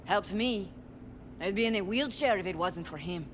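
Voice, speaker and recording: A woman's voice: mid-range voice, normal speed, background noise, natural voice. An actress playing a brash female street worker in a video game. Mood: defensive. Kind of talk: video game dialogue acting.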